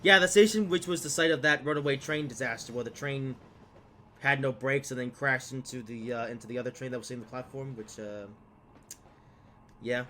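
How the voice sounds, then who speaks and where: A man's voice: medium pitch, fairly even intonation, a little fast, sharp tone, a little hiss or hum. A young man with a slightly stuffed-up voice from a cold, casually commenting on his gameplay with many fillers and trailing asides. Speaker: gaming video host.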